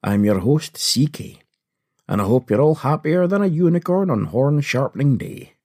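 A man hosting a podcast with scripted comedic narration, husky in voice and playful, punning and theatrical in delivery. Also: mid-range voice, expressive, normal speed, darkish tone. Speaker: podcast host. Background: faint music.

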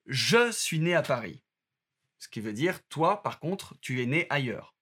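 A man reading an audio course aloud in a steady, instructive way. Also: fairly high pitch, unhurried. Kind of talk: scripted narration.

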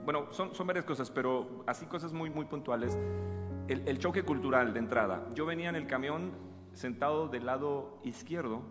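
Man, 40s, from Chiapas, chatty storyteller, laughs at himself, reverberant room, loud background music. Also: medium pitch, normal speed. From Chiapas, Mexico. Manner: chatty, jokes and laughs, restarts often. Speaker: guest.

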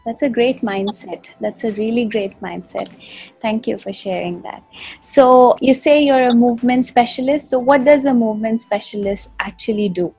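A woman host who trains clients herself, talking warmly affirming and rambling with many restarts. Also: a little high, normal speed, slightly rough, dry recording, faint music behind. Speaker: fitness podcast host. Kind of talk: conversational interview.

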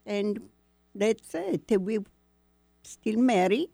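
An older woman whose first language is Italian, talking hesitantly in halting, broken English as a guest in a casual interview. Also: mid-range voice, lively intonation, creaky, warm tone, no echo.

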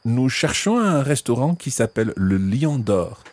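A man's voice: medium pitch, very animated, moderate pace, a little mellow, pitched above the performer's own voice. A man playing a stubborn but courteous husband on holiday in a radio play for learners. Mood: courteous, animated. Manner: self-assured, courteous.

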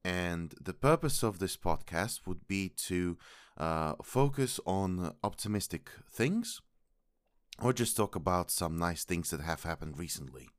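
A man hosting a podcast, speaking formally and politely with a sore throat and many halting pauses. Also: sits low, expressive, no echo, studio quality. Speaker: podcast host. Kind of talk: podcast intro monologue.

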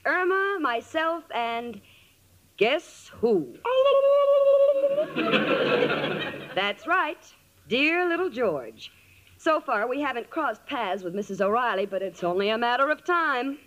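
An actress playing a sensible, exasperated young woman in an old-time radio comedy, wry and brisk in delivery. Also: on the high side, big pitch swings, heavily acted. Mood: wry narrating. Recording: old radio recording, faint noise.

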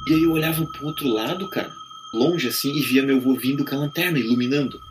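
Podcast guest, male, Brazilian accent, slangy casual storyteller, average pitch, music bed behind. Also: fast. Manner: colloquial, full of slang interjections. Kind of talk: casual spoken storytelling of eerie personal experiences.

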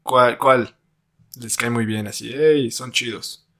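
A man's voice: medium pitch, steady tone, slow, vocal fry, bright, forceful tone. A man with a Mexican accent hosting a casual podcast chat, slangy and laid-back, asking his guests questions. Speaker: podcast host.

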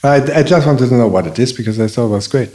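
A man who grew up in Belgium, a native German speaker, talking casually and telling stories in a halting way, with many restarts. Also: medium pitch. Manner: halting, restarts often, animated storytelling. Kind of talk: casual conversation.

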